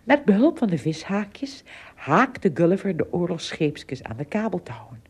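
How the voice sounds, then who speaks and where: A woman with a husky voice narrating an adventure radio play as scripted storybook narration. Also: lowish voice, big pitch swings, a little slow.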